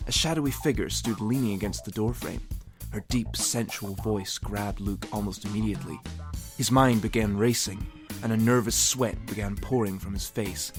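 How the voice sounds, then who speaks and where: A man's voice: fairly low pitch, normal speed. A man narrating comedic fan fiction in a mock-serious, theatrical way with wry asides. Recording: music behind the voice. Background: music.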